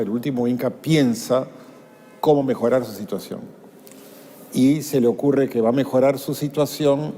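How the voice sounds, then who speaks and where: Author guest, man, mellow voice, measured and explanatory, faint background noise. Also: mid-range voice. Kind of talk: storytelling in an interview. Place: museum.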